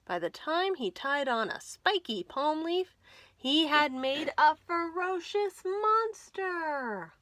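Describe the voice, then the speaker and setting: A woman reading a picture book aloud for young children, expressive and deliberate, with dramatic emphasis. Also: sits high, no echo. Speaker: children's librarian reading storytime.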